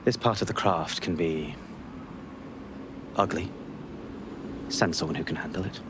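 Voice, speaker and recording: Tense male spy, lowish voice, grave clipped delivery, guarded, video game drama, faint background noise. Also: a little slow. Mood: instructing, guarded.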